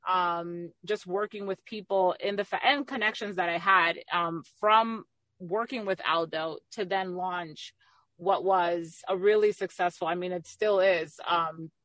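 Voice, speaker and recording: A woman's voice: on the low side, steady tone, measured pace, a bit bright, no room sound. A middle-aged woman from the Dominican Republic talking candidly and at length as a guest in a conversational interview. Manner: talkative, candid. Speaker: interview guest, magazine owner and entrepreneur.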